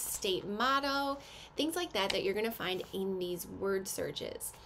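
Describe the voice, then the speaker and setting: American woman presenting, with noisy room background. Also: on the high side. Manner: chatty, instructive, addresses viewer directly with questions. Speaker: presenter of subscription box unboxing. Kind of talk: friendly explanatory product presentation to camera. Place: small indoor home office.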